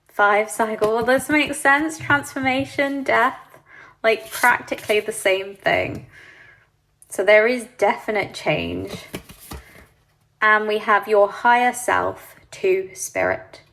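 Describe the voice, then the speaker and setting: A woman giving a pick-a-card tarot reading, sniffly from hay fever, speaking gently and reassuringly to the viewer. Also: a little high, expressive, slow pace, rough recording. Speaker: tarot reader.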